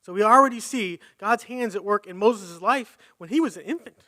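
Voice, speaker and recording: A man's voice: high-pitched, moderate pace, bright, forceful tone. A man preaching a conversational sermon at a live church service, reading scripture and thinking aloud as he corrects himself.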